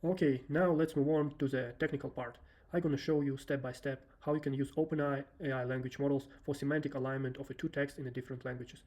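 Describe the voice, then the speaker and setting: A man in his thirties with a Russian accent walks through a coding demo in an unhurried, explanatory way, pausing often with filler sounds. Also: mid-range voice, steady tone, a little mellow. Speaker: software developer presenting a demo. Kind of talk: screen-recorded coding walkthrough.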